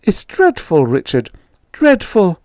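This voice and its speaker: A man voicing a gentle, worried middle-aged mother in a told story, speaking softly. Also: sits high, big pitch swings, unhurried, no room sound, studio quality, pitched above the performer's own voice. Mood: frightened.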